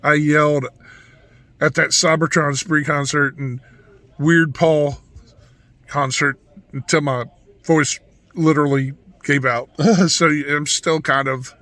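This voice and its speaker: A man with a hoarse, raspy voice, talking through a casual vlog in a rambling monologue full of restarts and asides. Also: fairly high pitch, fairly even intonation, slow, pauses often, pushed and bright, no echo. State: hoarse from yelling. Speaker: vlogger.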